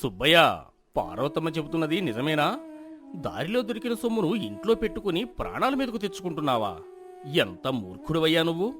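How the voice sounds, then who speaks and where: A man acting a wise, expressive village teacher. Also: fairly high pitch, music in the background. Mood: scolding. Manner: measured, admonishing, counselling. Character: elderly respected village teacher, wise, concerned, gently chiding. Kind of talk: acted moral-story dialogue.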